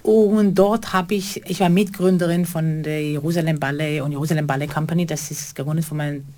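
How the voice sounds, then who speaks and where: Middle-aged choreographer, earnest and halting interview answers, lowish voice. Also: female voice, steady tone, dark tone. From Israel. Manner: halting, non-native grammar, earnest. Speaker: choreographer and theater co-founder.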